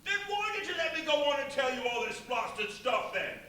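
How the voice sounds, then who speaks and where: A man playing a chatty, gullible working-class mariner, animated and rambling in a live radio play. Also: very high-pitched, a little fast, full character voice. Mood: indignant, angry. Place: live stage performance in a brewery venue. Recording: echoey, noisy live venue, far from mic.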